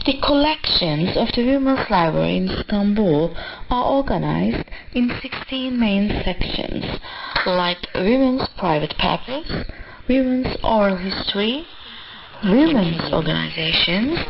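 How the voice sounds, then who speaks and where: A woman's voice: medium pitch, gravelly. A woman reading scripted news and features as a radio presenter, heard over shortwave. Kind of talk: scripted broadcast narration. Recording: narrowband, noisy shortwave radio reception.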